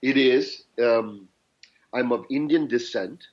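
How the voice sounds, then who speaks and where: A man's voice: mid-range voice, slow, a little mellow, lo-fi sound. A man with a Canadian accent, a guest telling his life story in an earnest, reflective way. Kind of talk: podcast interview.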